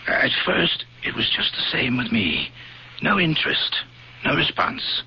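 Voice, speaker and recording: Guarded, halting man, creaky voice. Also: mid-range voice, huge pitch swings, full character voice. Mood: halting, troubled. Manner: halting, formal, evasive. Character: guarded, troubled man keeping a confidence. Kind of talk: 1970s radio drama acting. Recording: dull lo-fi old radio, faint noise.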